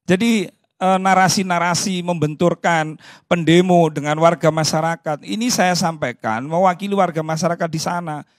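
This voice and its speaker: A man's voice: a little high, normal speed, no echo, studio quality. A man speaking for local residents, rebutting earnestly in a TV debate with repeated phrases. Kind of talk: heated debate.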